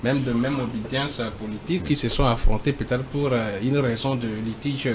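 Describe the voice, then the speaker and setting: A man speaking as a debate panelist, halting and repeating himself but emphatic. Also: mid-range voice, fairly even intonation, noisy background. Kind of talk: debate commentary.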